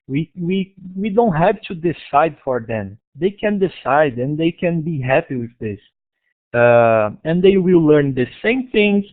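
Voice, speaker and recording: A man from Brazil with a Brazilian Portuguese accent, giving a talk about his school over an online conference in halting English with many restarts. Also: fairly high pitch. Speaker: guest speaker, school founder. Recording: tinny online call audio.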